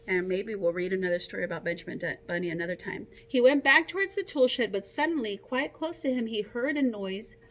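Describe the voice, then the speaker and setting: A woman teacher reading a story aloud to children, expressive and encouraging, with casual asides to her young listeners. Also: mid-range voice, fairly quick, hardly any pauses.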